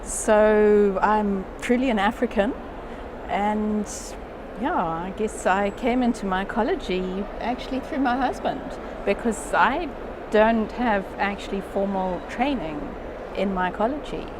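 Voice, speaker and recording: Frank, lively Zambian-born woman in her 50s, interviewee, with loud traffic behind. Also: medium pitch, a little slow. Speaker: interviewee, geneticist. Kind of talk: casual interview chat. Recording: loud outdoor noise.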